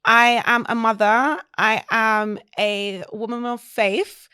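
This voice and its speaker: A woman, a guest co-founder, affirming often and speaking warmly. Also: a little high, little pitch movement, takes it slowly, smooth, no echo. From London. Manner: warm, affirming, many "mm"s. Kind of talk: podcast interview.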